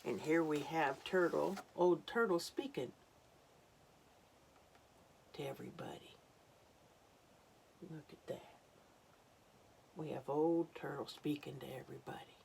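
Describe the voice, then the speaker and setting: A woman reading a story aloud to young children, dramatic and unhurried, dropping into hushed asides. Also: low voice, creaky, a little hiss or hum.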